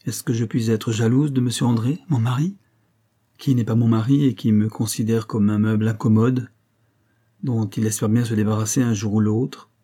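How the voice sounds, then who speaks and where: A man's voice: fairly low pitch, steady tone, moderate pace, mellow, breathy edge. A man voicing a proud young actress wife in a storybook reading, impatient, mocking and bitter. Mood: indignant. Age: young adult. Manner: railing, vehement, impatient.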